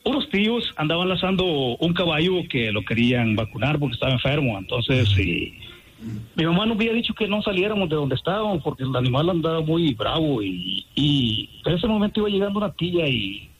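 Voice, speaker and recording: A man's voice: fairly high pitch, sing-song, brisk, muffled recording, slight background noise. A man from El Salvador calling in to a radio show, speaking politely and hesitantly with many fillers. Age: adult student. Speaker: caller. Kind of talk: phone-in call to a radio show.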